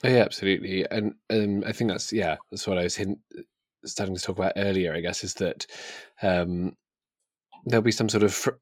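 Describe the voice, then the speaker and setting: Male book podcaster, deep voice, thoughtful conversational delivery, dry close sound. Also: steady tone, brisk, slightly rough, dry recording. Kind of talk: casual book discussion.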